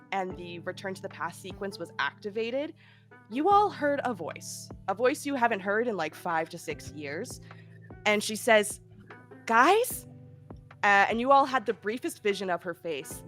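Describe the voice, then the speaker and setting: A woman's voice: medium pitch, a bit bright. A woman game master with a cold and a nasal voice, recapping the last session in a chatty, giggly way with many fillers. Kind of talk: narrating a tabletop game recap.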